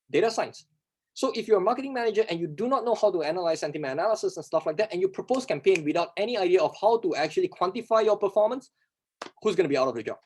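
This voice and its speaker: A man who is not a native English speaker answers workshop questions, talking fast and emphatically, often asking and answering his own rhetorical questions. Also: sits high, breathy edge. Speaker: workshop instructor.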